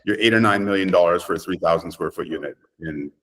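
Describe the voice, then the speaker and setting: A middle-aged man from Canada with a fairly deep voice talks as a property developer in an interview. He answers conversationally and emphatically, often landing on short, declarative statements. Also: quick pace, a bit bright.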